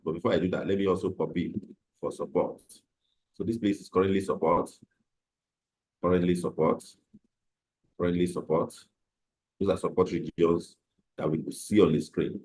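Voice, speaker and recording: A man teaching a live online trading class, slightly hoarse from a cold, explaining step by step with fillers over a computer microphone. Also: sits low, darkish tone, rough recording. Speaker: trading instructor.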